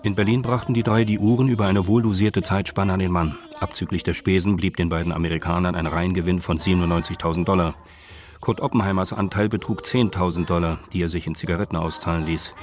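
An actor as the detached narrator of a radio crime drama, telling it in a measured, matter-of-fact way. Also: male voice, low voice, fairly even intonation, close to an everyday voice. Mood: detached narration. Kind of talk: scripted crime story narration.

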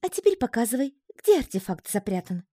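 A woman voicing a fierce amazon warrior woman who sounds hoarse and speaks bluntly, for an audiobook. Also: fairly high pitch, big pitch swings, fairly quick, mellow, dry recording, studio quality, voice pushed up. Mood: plain. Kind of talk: audiobook reading.

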